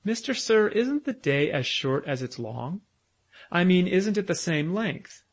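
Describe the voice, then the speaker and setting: A man's voice: high voice, very animated, a little slow, voice pushed up, exaggerated voice. A man voicing a small fairy boy who talks in lisping baby talk. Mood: earnest. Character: little boy, fairy child, babyish and plaintive.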